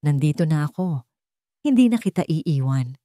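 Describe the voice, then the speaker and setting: Romance story hero: resolute, tender, protective man with a calm tone, read by a woman. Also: low-pitched, moderate pace, no echo, clean studio sound. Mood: tender concern.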